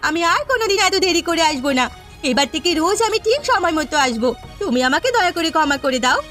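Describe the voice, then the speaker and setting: A woman's voice: extremely high pitch, lively intonation, fast, a bit bright, soft background music. A woman voicing a poor, earnest and deferential village girl, an elder sister in a cartoon story. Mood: apologetic pleading.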